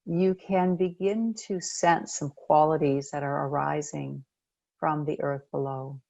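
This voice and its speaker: Meditation coach, woman, low gravelly fry, gentle and unhurried delivery. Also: steady tone, no echo, lo-fi sound. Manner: gentle, unhurried, pausing between phrases. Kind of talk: guided meditation.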